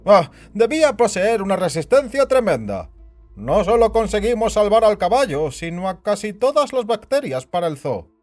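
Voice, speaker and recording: A high, very animated voice for a scheming, melancholy boss acted by a man in an audio drama. He is bossy and worried, delivering lines with deliberate theatrical authority. Music plays underneath. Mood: matter-of-fact. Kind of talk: scripted Spanish audio drama acting. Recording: music bed behind the voice.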